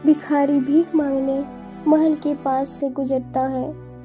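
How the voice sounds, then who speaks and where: A woman narrating a children's animated story in a scripted storybook style. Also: high-pitched, takes it slowly, faint music behind.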